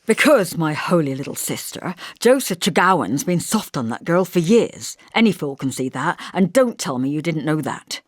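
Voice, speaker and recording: A woman's voice: low voice, big pitch swings, moderate pace, hardly any pauses, dry recording, clean studio sound. Woman narrator as bossy young man, blunt, colloquial, audiobook dialogue, swooping intonation, insistent. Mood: probing. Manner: brusque, insistent, colloquial regional turns of phrase. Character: Perrin, blunt, bossy young working-class brother.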